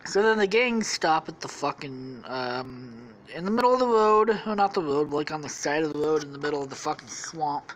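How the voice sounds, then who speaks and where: A woman's voice: deep voice, lively intonation, moderate pace, few pauses, slight background noise, poor audio. A woman podcast host improvising a crude, profanity-laden story in a rambling way. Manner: profane, rambling, laughing at her own story.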